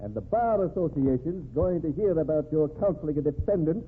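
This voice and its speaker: An actor playing a stern, righteous American governor in an old-time radio drama, speaking formally and reproachfully. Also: male voice, fairly high pitch, animated, a little fast, phone-quality sound, lightly acted. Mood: stern, indignant. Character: stern, indignant male state governor of principle. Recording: thin, band-limited, noisy old radio recording.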